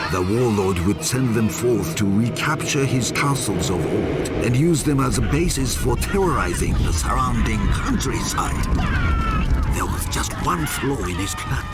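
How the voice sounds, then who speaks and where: An actor playing a solemn storyteller, narrating an ancient legend for a video game with theatrical, deliberate emphasis. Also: male voice, on the low side. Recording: loud music behind the voice. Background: loud game music.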